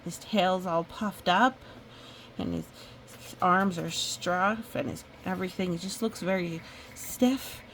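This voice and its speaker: A woman reading a children's picture book aloud, expressive and exclaiming, with chatty asides to young listeners. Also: lowish voice, takes it slowly, a little hiss or hum, poor audio.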